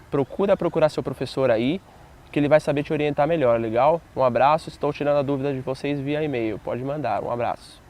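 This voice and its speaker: A man's voice: a little high, darkish tone. A man working as a fitness instructor, explaining a training question to viewers and checking in with them often. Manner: didactic, checks in with "legal?". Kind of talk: explainer to camera.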